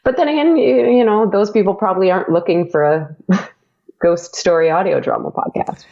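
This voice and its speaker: A young woman talks as an interview guest, laughing often and drifting into fillers. Her voice has a gravelly, fry-edged texture, and the sound is dull and lo-fi. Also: lowish voice, a little fast, dark tone. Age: young adult. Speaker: guest, audio drama podcast creator and actor. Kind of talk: casual interview chat.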